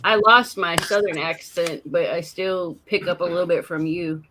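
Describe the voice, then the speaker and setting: A woman hosting a casual live morning show, playful and teasing, with quick comebacks. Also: on the low side, fairly even intonation, background noise. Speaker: host.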